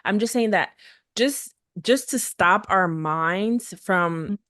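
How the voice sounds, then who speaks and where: A woman's voice: medium pitch, takes it slowly, fairly bright tone, dry recording, studio quality. A woman hosting a faith podcast for moms, speaking in an encouraging, casual way with frequent fillers and restarts. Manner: encouraging, hesitant with many fillers. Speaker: podcast host.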